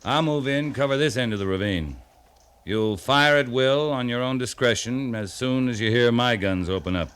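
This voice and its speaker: An actor playing a seasoned, decisive American cavalry captain who gives clipped, authoritative orders in a 1950s radio western. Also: male voice, mid-range voice, moderate pace, a bit bright, clean tone, close to an everyday voice. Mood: firm, methodical. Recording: noisy 1950s radio broadcast recording.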